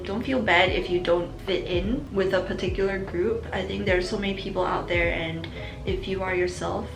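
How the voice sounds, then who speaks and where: Chatty young woman with a creaky voice. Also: on the low side, fairly even intonation, moderate pace, roomy sound, music in the background, low-quality recording. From Singapore. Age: young adult. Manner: chatty, reflective, laughs between answers. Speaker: vlogger. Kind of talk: casual chat answering viewer questions.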